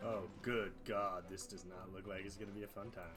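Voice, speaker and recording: Low-pitched man streaming a video game. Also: fairly even intonation, fairly quick. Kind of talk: casual live gameplay commentary. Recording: loud game music behind. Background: game music.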